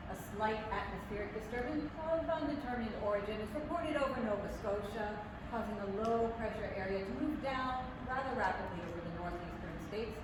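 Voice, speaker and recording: A woman playing a formal 1930s radio announcer in a staged reading, speaking deliberately. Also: fairly high pitch, close to an everyday voice. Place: public library room, staged reading. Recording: distant, very echoey room, loud noise.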